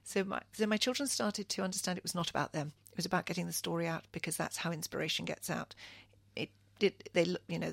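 A woman's voice: lowish voice, vocal fry, a bit bright, slightly rough, dry recording. A middle-aged woman from Kenya, speaking as a radio interview guest in an earnest, thoughtful way with frequent restarts.

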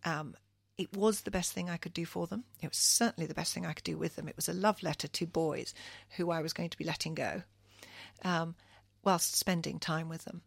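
Woman, middle-aged, from Kenya, interview guest, earnest, thoughtful, gravelly, dry sound. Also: on the low side, steady tone, brisk, dry recording. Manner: thoughtful, earnest, frequent restarts. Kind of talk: reflective radio interview.

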